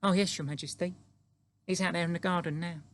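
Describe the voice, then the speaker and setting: A man's voice: sits high, steady tone, a little fast, a bit bright, voice pushed up. A man voicing a polite, earnest little girl in an audiobook, respectful and a little timid. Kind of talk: audiobook character acting.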